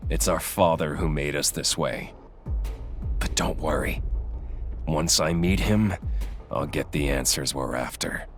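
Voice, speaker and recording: A man's voice: very deep voice, measured pace, pauses often, soft background music. A man voicing a cold, brooding villain with grim resolve in a fantasy video game. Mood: cold, resolute.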